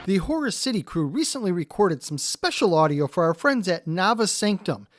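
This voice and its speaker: A woman's voice: lowish voice, big pitch swings, normal speed, noisy background. A woman announcer reading a scripted podcast introduction. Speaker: show announcer.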